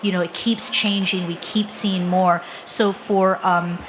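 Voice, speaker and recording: A woman's voice: fairly low pitch, fairly even intonation, measured pace. Woman author, earnest and emphatic, talking on a conference panel, faint hiss behind. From Connecticut, USA. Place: conference venue.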